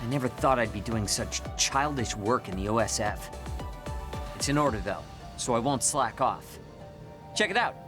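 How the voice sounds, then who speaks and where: A man's voice: medium pitch, expressive, moderate pace, over music, lightly acted. A man voicing a prickly, proud young male soldier in an anime game, speaking in a curt, irritable way. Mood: gruff, defensive. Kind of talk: anime video game dub acting.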